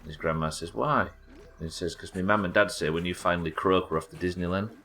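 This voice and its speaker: A British man with a naturally croaky voice, chatting casually and swearing while streaming a game. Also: low-pitched, fast talker, sharp tone. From United Kingdom. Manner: chatty, swears, reads chat aloud. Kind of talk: casual gameplay chat.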